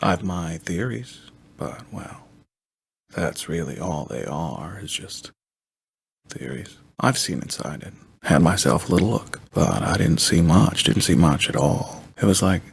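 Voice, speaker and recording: An actor playing a weary, eccentric, soft-spoken intellectual man in a video game, halting and musing, with a raspy, breathy voice, often repeating himself. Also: animated, exaggerated voice. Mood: musing, cryptic. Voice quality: raspy, breathy, gravelly low voice. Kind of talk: video game character dialogue.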